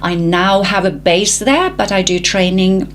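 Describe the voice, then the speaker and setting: A woman's voice: medium pitch, a little slow, slight background noise. A woman from Sweden in her forties, chatting as an interview guest about her work, with many fillers. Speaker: guest, film trainer. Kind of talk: interview conversation.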